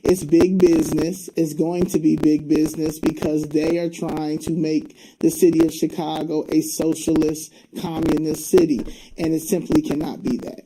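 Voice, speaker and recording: Earnest mellow-voiced woman from Albany, New York. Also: low-pitched, flat delivery, moderate pace, a little hiss or hum, rough recording. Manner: earnest, emphatic. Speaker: interview guest, community advocate. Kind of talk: interview.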